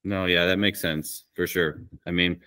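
A man's voice: deep voice, fairly even intonation, normal speed, bright, forceful tone, dry recording. A man, perhaps in his thirties, chatting casually and candidly as an interview guest, rambling a little on a video call. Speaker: podcast guest, small business woodworker. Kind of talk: casual conversation. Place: small woodworking shop, indoors. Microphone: video call microphone.

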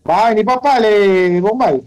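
A man's voice: high-pitched, moderate pace, darkish tone, no echo, faint music behind, low-quality recording. A man with a Venezuelan accent chatting casually as he games, full of slang and swearing. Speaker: gamer streaming an online role-playing game. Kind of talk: casual gaming chat.

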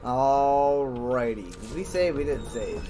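A man's voice: mid-range voice, animated, takes it slowly. A man doing casual gameplay commentary, thinking aloud and chatting informally to his viewers. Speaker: gamer, host of a let's play. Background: game music.

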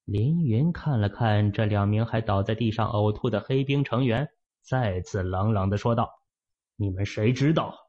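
A man narrating a fantasy novel as an audiobook, in a steady, measured storytelling manner, in a muffled lo-fi recording. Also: on the low side.

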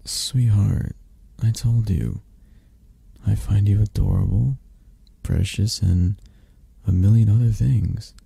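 Very deep, mellow, tender dragon boyfriend played by a man. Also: vocal fry, slightly rough, no room sound, exaggerated voice. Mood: gentle, reassuring. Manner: soft, teasing, reassuring, hesitant pauses. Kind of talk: intimate ASMR roleplay. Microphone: close, dry microphone.

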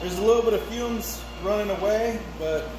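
Man rambling through a garage welding demo. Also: high voice. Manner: rambling, halting with many fillers. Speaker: hobby welder vlogger. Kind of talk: casual demonstration vlog. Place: closed home garage workshop with air conditioning running. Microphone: phone camera set on the bench, sometimes far off. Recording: faint air conditioner hum in a garage.

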